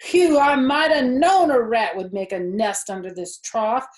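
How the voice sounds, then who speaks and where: A woman's voice: fairly high pitch, unhurried, voice pushed up, lightly acted. A woman voicing an exasperated, folksy country farmhand man in a children's storybook reading. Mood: disgusted. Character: gruff country farmhand man who hates rats.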